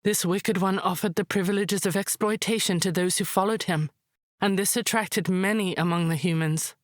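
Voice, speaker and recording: A woman playing a calm, wise high priestess who tells myths in a measured, solemn way, as in a visual novel. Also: no room sound, studio quality, natural voice. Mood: solemn, reverent.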